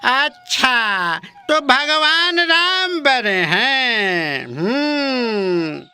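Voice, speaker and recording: A man playing a stubborn village drunkard in a comic cartoon story, with slurred, drawn-out, insistently questioning speech. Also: extremely high pitch, very animated, pushed and bright, clean tone, soft background music, exaggerated voice. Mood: pestering, insistent.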